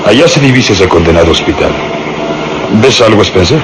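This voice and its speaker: An actor playing a heavyset, gruff and decisive police inspector in a radio drama, brusque, commanding and easily irritated. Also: male voice, fairly low pitch, expressive, fairly quick. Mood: brisk, commanding. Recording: noisy radio drama recording.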